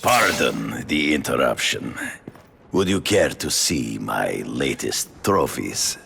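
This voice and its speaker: An actor playing an aging, proud game-hunter villain in a video game, speaking in a formal, measured, theatrical way with a gravelly voice. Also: male voice, big pitch swings, bright, forceful tone, slight background noise, full character voice. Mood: courteous. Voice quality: very deep, gravelly. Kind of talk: video game cutscene acting.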